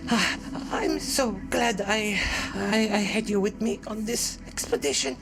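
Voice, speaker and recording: A man voicing a timid male researcher with a squeaky voice, who stammers through his thanks. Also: gravelly. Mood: nervous, grateful. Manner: halting, full of ums and uhs.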